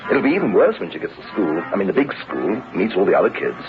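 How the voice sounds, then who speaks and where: An actor playing a brisk, reassuring British husband in a radio drama, breezy and matter-of-fact. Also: male voice, sits low, wildly swooping pitch, music in the background. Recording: lo-fi radio recording, clear background noise.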